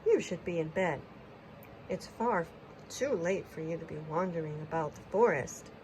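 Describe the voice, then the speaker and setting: A woman acts out a bat from a children's storybook. It is stern and concerned, chiding firmly and loudly. There is clear noise in the recording. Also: low-pitched, normal speed, close to an everyday voice, low-quality recording. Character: concerned, stern bat scolding a bear cub.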